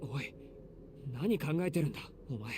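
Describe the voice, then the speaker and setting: A man's voice: mid-range voice, animated, fast, noisy background, lightly acted. A man playing an exasperated, earnest young man in a Japanese visual novel, blunt and urgent. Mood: uneasy.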